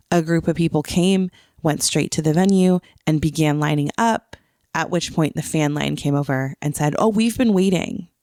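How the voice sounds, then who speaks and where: A woman's voice: deep voice, a little mellow, no echo, clean studio sound. A woman co-hosting a podcast, recounting things in casual, conversational storytelling. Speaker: podcast co-host. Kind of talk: casual chat, recounting a trip.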